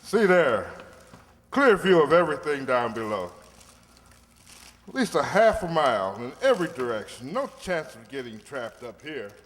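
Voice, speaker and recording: A man's voice: on the high side, sing-song, normal speed. A man playing a cocky drug dealer with a persuasive, chummy manner in an old-time radio crime drama. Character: smooth-talking male drug dealer, placating and cocky. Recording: echoey hall.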